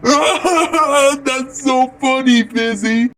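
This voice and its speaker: A man's voice: very high voice, extremely slow, fairly bright tone, faint music behind, heavily acted, low-quality recording. A man voicing a sleepy, childlike, good-natured cartoon puppet character in a comic puppet show. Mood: amused, sleepy.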